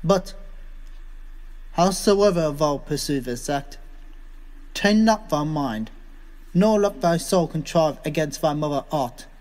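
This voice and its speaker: Dead king ghost, slow solemn old-timey verse, big echoey reverb, slightly high male voice. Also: lively intonation, darkish tone. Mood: commanding. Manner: slow, formal declaiming in archaic verse. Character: murdered king's ghost, solemn, aggrieved, commanding. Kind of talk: Shakespearean audio drama. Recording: long cavernous reverb.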